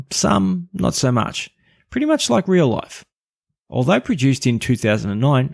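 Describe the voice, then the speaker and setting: Gravelly male podcast host, dry close sound. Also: medium pitch, darkish tone, no room sound. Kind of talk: scripted podcast review.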